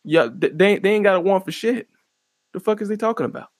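A man hosting his own podcast, rambling in a laid-back, profane way with a fairly monotone voice and lots of fillers. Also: high voice, fairly quick, no echo, clean studio sound. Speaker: podcast host. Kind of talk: casual solo podcast commentary. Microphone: close podcast microphone.

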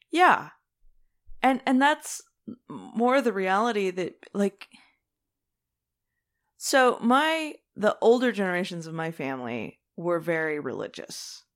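Woman chatting casually on a podcast. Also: on the low side. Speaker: podcast co-host. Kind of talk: casual discussion.

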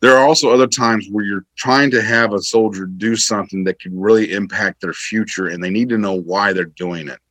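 Male interview guest, veteran, low voice, dry close sound, emphatic conversational explainer. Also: fairly quick, hardly any pauses, a bit bright, dry recording.

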